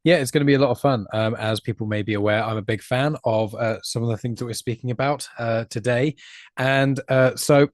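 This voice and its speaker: A man's voice: medium pitch, steady tone, brisk, dry recording, clean studio sound. A man in his late twenties chatting casually as a podcast guest, congested with a cold and rambling a little. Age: late 20s. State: congested from a cold and hay fever, chewing gum. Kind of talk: casual chat.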